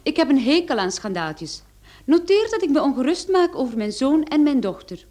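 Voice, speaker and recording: An actress playing a cool, persistent young private detective in a radio play, composed, polite and pointed. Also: female voice, high-pitched, big pitch swings, normal speed, darkish tone. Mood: composed, firm. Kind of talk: Dutch-language radio drama acting.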